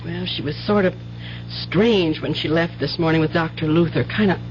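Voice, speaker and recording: A woman's voice: low voice, moderate pace, close to an everyday voice. A worried, loving country mother played by a woman in a vintage radio play. Her folksy talk is fretful and tender, heard through a dull old broadcast with music underneath. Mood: anxious. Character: anxious, doting rural mother, worn but loving. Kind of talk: 1970s radio drama acting.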